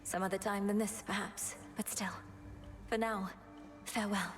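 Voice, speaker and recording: An actress playing a determined, fierce young sorceress princess in a video game, speaking in formal, archaic, earnest phrases. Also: female voice, mid-range voice, steady tone, normal speed, loud music behind the voice, natural voice. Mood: calm resolve. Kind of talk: dramatic video game acting.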